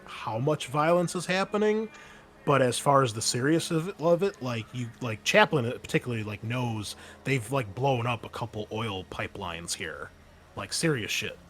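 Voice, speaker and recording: A man running a tabletop game as game master, explaining and narrating casually in a halting, conversational way. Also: medium pitch, lively intonation, a little hiss or hum.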